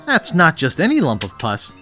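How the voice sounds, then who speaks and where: An actor playing an eager young would-be pirate in an adventure game, earnest and boyish with a wry streak. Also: male voice, on the high side, wildly swooping pitch, moderate pace. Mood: salesman-like. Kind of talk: animated adventure game dialogue. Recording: game music behind the voice.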